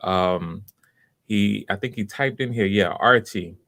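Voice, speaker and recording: A man hosting a live online audition stream in casual, halting, unscripted chat, welcoming and encouraging to his viewers. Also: on the low side, vocal fry, fairly bright tone, no room sound, studio quality. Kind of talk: casual livestream chat, greeting viewers by name.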